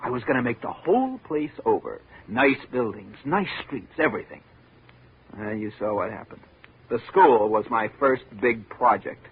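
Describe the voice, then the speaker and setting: A sardonic small-town architect speaks with weary, theatrical eloquence. His voice is markedly rough and breathy, heard through the thin sound of a vintage radio drama. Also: medium pitch, lively intonation, normal speed. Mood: bitter irony. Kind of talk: 1950s radio drama acting. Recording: narrow muffled vintage radio recording.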